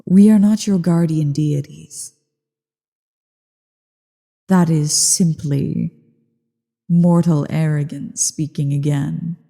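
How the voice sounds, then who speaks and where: A woman voicing an ancient evil female dragon in a slow ASMR roleplay monologue, haughty, bored and menacing, with a low, husky, creaky delivery. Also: fairly even intonation, mellow, full character voice. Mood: haughty, disdainful. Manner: haughty, disdainful, deliberate. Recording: long cavernous reverb.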